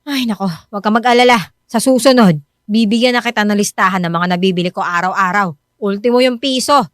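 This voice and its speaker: A woman's voice: medium pitch, a little fast, no room sound, studio quality, close to an everyday voice. Woman acting devoted, easily stung wife in radio drama. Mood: indignant. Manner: expressive, emphatic. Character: devoted, hard-pressed wife and mother, quick to bristle. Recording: music bed under the voice.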